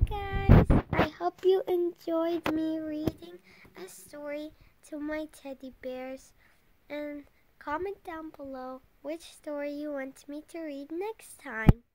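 A woman's voice: high voice, heavy background noise. A young girl reading a picture book aloud expressively, stumbling over words now and then. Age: child. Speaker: storytime host reading aloud to teddy bears.

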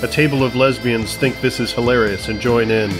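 A man delivers scripted comedy narration in a mid-range voice. He tells the story in the present tense, with music playing clearly behind him. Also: medium pitch, fairly even intonation, brisk.

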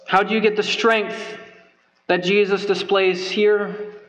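Earnest young preacher with a high voice. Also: male voice, flat delivery, slow, a bit bright, rough recording. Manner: earnest, emphatic, repeats phrases for stress. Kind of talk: sermon. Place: church sanctuary, at a wooden pulpit. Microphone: pulpit microphone.

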